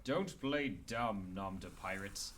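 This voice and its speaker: Man as a wry, dramatic hero, swooping and deliberate. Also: fairly low pitch, lively intonation, fairly bright tone. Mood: stern, wary. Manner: dramatic, wry, self-assured. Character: cocky, wry pun-slinging crime-fighting hero. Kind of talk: amateur radio drama acting. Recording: faint noise and ambience, slightly distant.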